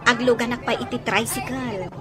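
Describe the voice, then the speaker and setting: Woman acting high-voiced, polite young woman. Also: fairly even intonation, moderate pace, close to an everyday voice. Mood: agreeable. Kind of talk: radio drama acting. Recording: loud music and crowd noise behind.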